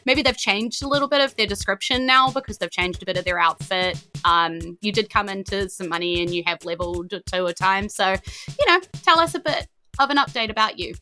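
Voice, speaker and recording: A woman with a New Zealand accent runs a tabletop game as its host. Her voice is bright and sits at an average pitch. She mixes theatrical storytelling with chatty asides over faint music. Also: fairly quick. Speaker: game master and podcast host. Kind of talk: narrating a tabletop game on a stream.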